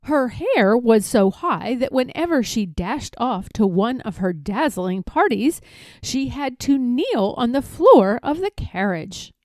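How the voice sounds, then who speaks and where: Very animated woman storyteller. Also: fairly high pitch, slow pace, dry recording, studio quality. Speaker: read-aloud storyteller. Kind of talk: expressive children's storybook narration.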